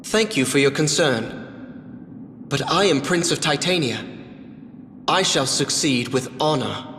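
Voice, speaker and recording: Proud young prince, echoing. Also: male voice, a little high, lively intonation, unhurried, fairly bright tone, slight background noise. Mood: resolute. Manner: formal, resolute. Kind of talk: fantasy video game voice acting. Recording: echoey hall reverb, music behind.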